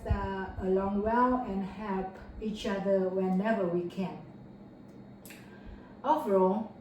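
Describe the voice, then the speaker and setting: A woman's voice: mid-range voice, mellow. A young woman from Vietnam, answering a mock speaking test in careful, rehearsed English with fillers and self-corrections. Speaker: test candidate. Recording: echoey room, far from mic, faint noise.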